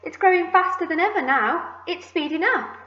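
A woman voicing a bossy, snappish aunt in a children's storybook, exclaiming and shouting. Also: mid-range voice, animated, normal speed, natural voice. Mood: shrill excitement. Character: bossy, snappish aunt, shrieking with greedy excitement. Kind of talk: children's storybook read-aloud. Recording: echoey room, slightly dull sound.

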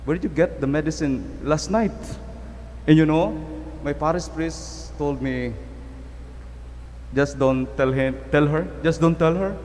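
Filipino priest telling a homily story. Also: male voice, fairly high pitch, measured pace, a little mellow, a little hiss or hum. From Philippines. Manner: conversational, code-switching, repeats words. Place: church sanctuary. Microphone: stand mic at lectern. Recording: reverberant church, faint ambience.

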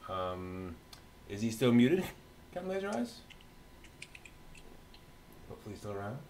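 A middle-aged man co-hosting a show in casual chat, halting as he thinks aloud. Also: fairly low pitch, big pitch swings, darkish tone. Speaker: co-host of an anime news show. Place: indoors on a video call. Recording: faint background noise.

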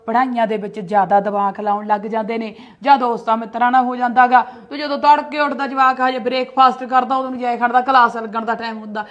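A woman's voice: on the high side, muffled recording, natural voice. A middle-aged woman, acting a defensive mother who argues back and scolds her sister-in-law in a family skit. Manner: argumentative, quick retorts, scolding.

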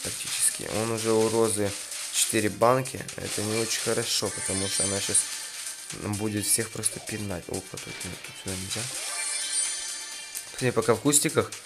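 A slightly hoarse man with a lowish voice talks through his gameplay in a loose, chatty way, thinking out loud and restarting words now and then. Game music plays clearly behind him throughout. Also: steady tone, brisk. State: slightly hoarse from a sore throat. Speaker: gaming YouTuber. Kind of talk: casual gameplay commentary.

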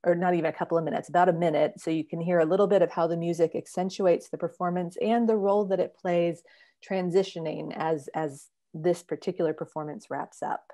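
A woman in her forties moderates a panel over a video call. Her voice is low, and she presents in a conversational style from a room at home. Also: fairly even intonation, a little fast, warm tone. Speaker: panel moderator, museum curator. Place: small room at home, indoors. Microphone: wired earbuds on a video call.

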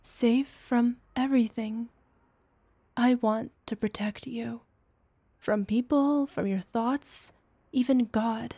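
A woman acting in an ASMR roleplay as a devoted, playful older girlfriend, with a soft, tender, teasing manner. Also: fairly high pitch, steady tone, slow pace, no echo. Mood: concerned, tender. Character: devoted, playful, smitten older girlfriend soothing her lover.

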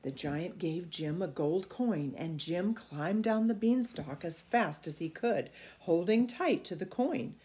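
A middle-aged woman reading a picture book aloud to children in expressive narration, recorded in a room at home. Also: on the low side, unhurried, few pauses. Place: small indoor room at home.

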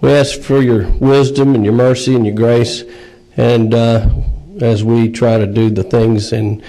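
A man recites a short opening prayer in a committee room. His delivery is steady and solemn, with a mellow tone and very little rise or fall in pitch. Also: a little slow, poor audio. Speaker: legislator leading prayer.

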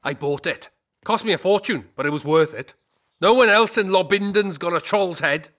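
A sly village trader for a fantasy game, with a fairly high, sharp and forceful male voice. He sounds folksy and shrewd, always haggling. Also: normal speed. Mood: boastful, haggling. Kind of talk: video game character acting.

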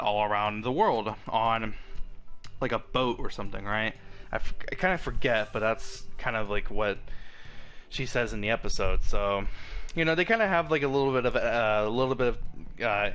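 A man's voice: mid-range voice, a bit bright. Rambling young man reviewing an episode. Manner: rambling, swears, many fillers. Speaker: anime reviewer. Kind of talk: casual episode review commentary. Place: voice-over over gameplay footage.

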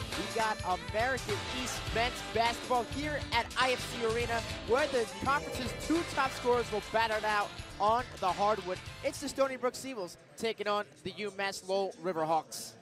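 A man doing live radio play-by-play of basketball, excitable and breaking into shouts on big plays. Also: very high-pitched. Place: indoor college basketball arena.

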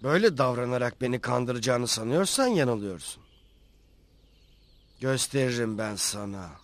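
A man voicing a resentful young older brother in an animated film dub, taunting and scheming. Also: mid-range voice, moderate pace, close to an everyday voice. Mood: threatening.

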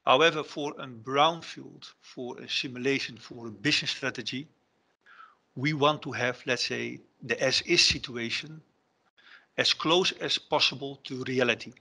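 A middle-aged Dutch-accented man presents over a headset on a video call. His voice has a bright, forward edge at a mid pitch. He pauses often with ums and restarts his sentences. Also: vocal fry, slightly rough. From Netherlands. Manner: hesitant, many ums and restarts, non-native phrasing. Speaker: conference presenter, terminal IT director. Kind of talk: online conference presentation.

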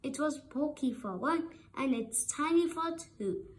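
A woman's voice: high voice, expressive, a little slow. A woman voicing a fretful, grumbling little old lady who pleads and wails, in a storybook reading. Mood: pleading, distressed. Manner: wailing, pleading exclamations.

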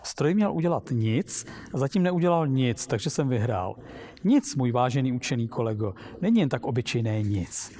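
A man's voice: mid-range voice, animated, dark tone, natural voice. A man voicing a cool, hard-to-please rival robot inventor in a storybook reading, pedantic and dismissive. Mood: pedantic, triumphant.

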